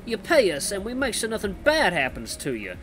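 Man doing a thuggish fish gangster, raised pitch, hammy pirate swagger, slimy, mock-polite, menacing game villain. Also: huge pitch swings, brisk, a bit bright, faint music behind, full character voice, poor audio. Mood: smooth-talking. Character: thuggish barracuda pirate mobster, slimy extortionist.

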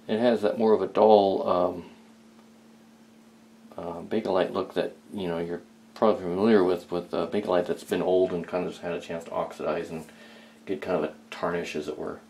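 A man's voice: deep voice, level tone, moderate pace, a little mellow, low-quality recording. A man chatting casually and hesitantly as he thinks aloud during hands-on hobby work in a small home workshop. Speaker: hobbyist restorer, video host.

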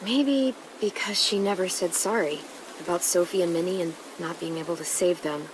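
Composed, direct teen girl survivor adult actress for a naturalistic game drama, slightly low voice over clear nature ambience. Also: normal speed, lightly acted. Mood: frank, composed. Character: level-headed, frank teenage girl survivor. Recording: clear insect and nature noise behind.

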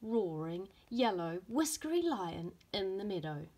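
A woman's voice: mid-range voice, very animated, unhurried, mellow, husky, full character voice. A woman voicing an earnest, emphatic little boy in a storybook. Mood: earnest, scared.

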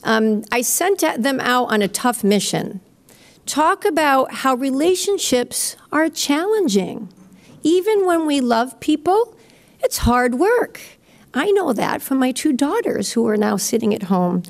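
Slow-talking middle-aged woman hosting. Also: high-pitched. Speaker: teacher introducing students. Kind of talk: informal event introduction. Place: school stage at a podium. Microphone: gooseneck podium microphone.

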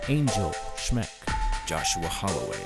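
A man with a very low voice reads scripted credits. He works as the announcer over very loud music. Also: sing-song, unhurried.

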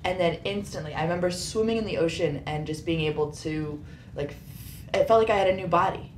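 A woman's voice: lowish voice, fairly quick. A woman in her thirties speaking as a patient, giving a casual, detailed account. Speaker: patient, yoga teacher. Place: small carpeted treatment room. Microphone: boom microphone on a stand. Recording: roomy sound with background hiss.

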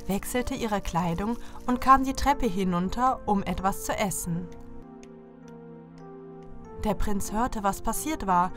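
A woman tells a scripted fairy tale to children as its narrator. Her voice sits at an average pitch. Soft music runs behind the reading. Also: slow pace, long pauses.

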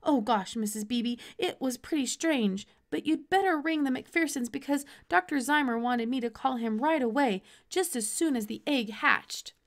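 A woman voicing an eager, earnest young farm boy in a storybook, excitable and breathlessly run-on in his talk. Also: high voice, moderate pace, hardly any pauses, voice pushed up. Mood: eager, polite. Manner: exclamatory, run-on, earnest delivery.

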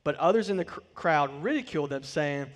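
A man's voice: fairly high pitch, animated, a little fast. Man preaching in a reverberant hall. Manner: emphatic preaching, voice swelling and dropping to quiet line endings. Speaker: pastor. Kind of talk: sermon with scripture reading. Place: large church stage, indoors.

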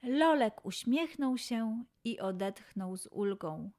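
A woman narrating a scripted children's story in an expressive way, with dramatic pauses and emphasis. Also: a little high.

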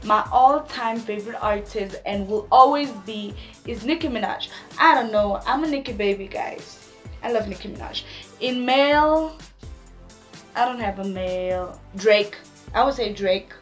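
A woman in her twenties with a Namibian accent, chatting casually to viewers in a vlog with animated slangy asides. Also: mid-range voice, a little slow, faint music behind. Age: 20s. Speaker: YouTuber.

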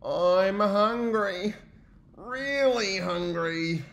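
A man voicing a curious, hungry bear from a storybook, speaking in a slow, drawn-out way. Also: sits high, creaky, a bit bright, slight background noise, pitched above the performer's own voice, heavily acted.

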